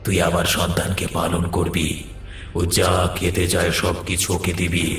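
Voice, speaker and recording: A man's voice: low voice, monotone, normal speed, a bit bright, slight background noise. A man voicing a menacing, commanding jinn, a restless spirit, in a horror cartoon. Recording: echoing voice over background music.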